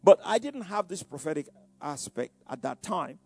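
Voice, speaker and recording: A man's voice: a little high, sing-song, moderate pace, a little mellow, no echo. An older man with a Nigerian Yoruba accent, preaching and recounting his past, who often repeats words and says "you know". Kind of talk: sermon-like personal testimony.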